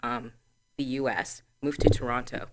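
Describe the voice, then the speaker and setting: A woman in her forties from Trinidad, a guest answering interview questions in a measured, explanatory way. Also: very deep voice, steady tone, very noisy. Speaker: guest, sorority chapter president.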